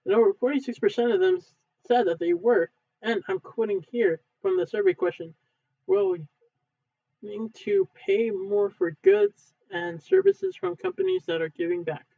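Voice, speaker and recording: A man reading a textbook aloud in a halting way, stumbling and correcting himself as he goes. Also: expressive, creaky. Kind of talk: unedited read-aloud of a textbook. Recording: thin phone-like low-fidelity sound.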